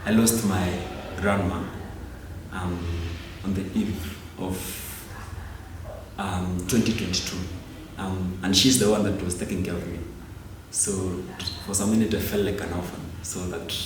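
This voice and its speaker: A man's voice: low voice, fairly even intonation, unhurried. A man of twenty-four from Kenya with a Kenyan accent, giving candid answers in an interview. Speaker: student interviewee. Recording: very echoey room, distant mic, noisy.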